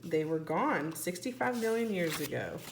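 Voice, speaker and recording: A woman's voice: fairly low pitch, big pitch swings, normal speed, roomy sound, noisy background. A woman teacher reading a children's storybook aloud expressively, putting questions to young listeners. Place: indoor room, book held on camera.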